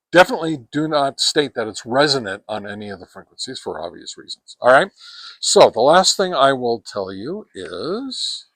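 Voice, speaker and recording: A man's voice: mid-range voice, a bit bright. A man doing a casual, plainspoken review of radio equipment, with frequent fillers and "all right?" tags.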